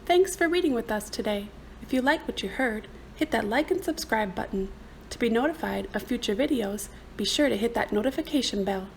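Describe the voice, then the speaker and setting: High-pitched woman reading a children's storybook. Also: creaky, slight background noise. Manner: expressive, deliberate, rhythmic. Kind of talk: scripted storybook narration for young children.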